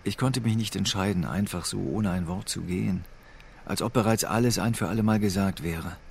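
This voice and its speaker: Radio drama lead: weary homeless man, very low gravelly voice, brooding and subdued. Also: brisk, a little hiss or hum. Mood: melancholic. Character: Rico, homeless man, weary, melancholic, brooding over lost family. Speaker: narrator and protagonist. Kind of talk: radio drama first-person narration and dialogue.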